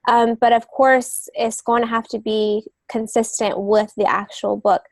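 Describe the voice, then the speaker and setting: A woman's voice: on the high side, a little mellow, no room sound. A woman co-hosting a podcast, explaining things step by step in an informative, conversational way. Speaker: podcast co-host, literary agent.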